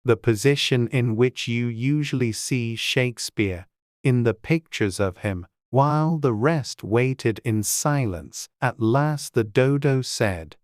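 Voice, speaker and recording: A man narrating a classic children's story in a gentle, even storytelling manner. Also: medium pitch, slow pace, no room sound, studio quality.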